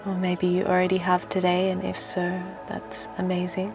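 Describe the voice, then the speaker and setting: A woman leading a guided relaxation meditation in a soft, gentle, soothing voice that turns breathy at times, with unhurried phrases. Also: lowish voice, completely flat delivery. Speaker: meditation teacher and host. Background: music.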